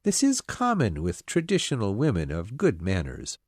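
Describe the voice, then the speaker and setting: A woman voicing a pompous, self-satisfied young male clergyman who proposes, speaking in a slow, smug, insistent way. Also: rumbling low, huge pitch swings, a little mellow, breathy edge, no room sound, studio quality, voice pushed down, exaggerated voice. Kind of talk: storybook character voice.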